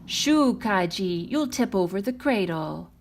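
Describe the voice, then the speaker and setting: Woman voicing Lena, a fussy, breathy storybook mother. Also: mid-range voice, expressive, a little slow. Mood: scolding. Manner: scolding, emphatic. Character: Lena, young mother, fussy and protective of her baby.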